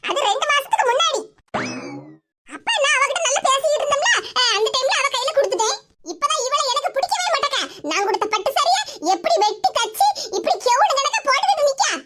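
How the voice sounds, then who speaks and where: A woman's voice: very high voice, lively intonation, very noisy. Woman doing a scolding old mother-in-law. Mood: angry. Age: older. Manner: sharp, scolding, fast-talking. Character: scolding older village mother-in-law, quick-tempered.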